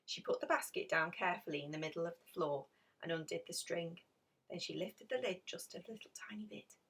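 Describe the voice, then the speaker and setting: A woman reading a children's picture story aloud in an expressive, dramatic storytelling manner. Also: fairly low pitch, a little fast, husky, far from the mic. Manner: animated, dramatic emphasis with hushed passages. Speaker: storybook reader.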